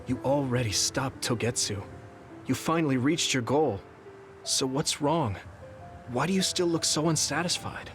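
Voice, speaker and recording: A man's voice: mid-range voice, expressive, measured pace, close to an everyday voice. An actor playing an earnest, questioning young male soldier in a video game cutscene. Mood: puzzled, earnest. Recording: music behind the voice.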